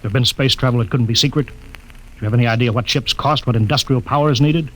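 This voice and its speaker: An actor playing a brisk, authoritative male spaceship captain in a 1950s radio drama. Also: mid-range voice, fairly bright tone, lightly acted, low-quality recording. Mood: bewildered.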